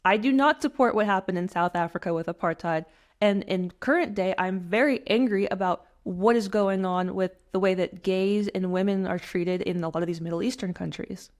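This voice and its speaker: A young American woman narrating a scripted personal essay in an earnest, emphatic, measured way. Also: medium pitch. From United States. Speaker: commentator.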